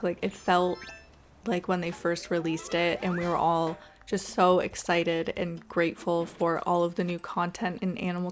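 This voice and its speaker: A woman giving casual, chatty and expressive voice-over commentary as she plays a video game. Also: fairly low pitch. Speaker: gaming video creator. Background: background music.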